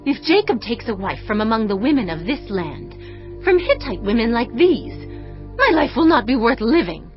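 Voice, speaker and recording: A woman's voice: fairly high pitch, very animated, normal speed. A woman playing an urgent, protective, world-weary mother of grown sons in a dramatized audio Bible. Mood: bitter complaint. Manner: urgent, emphatic. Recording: dull sound, faint music.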